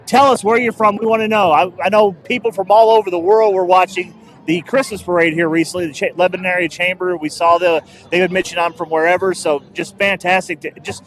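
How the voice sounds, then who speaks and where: A man's voice: sits high, steady tone, fairly quick, poor audio. A man hosting a live street broadcast outdoors on a handheld mic, rambling and joking. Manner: rambling, upbeat, jokey. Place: outdoors at a loud street festival. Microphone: handheld microphone.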